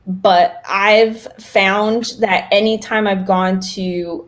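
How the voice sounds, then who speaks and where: Woman chatting casually on a podcast. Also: medium pitch. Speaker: podcast co-host.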